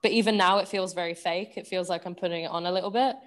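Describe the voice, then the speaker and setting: Thoughtful young woman, lowish voice. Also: steady tone, fast. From United Kingdom, raised in Singapore. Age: 20s. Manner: thoughtful, hesitant, many fillers. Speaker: guest, performer. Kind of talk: casual interview.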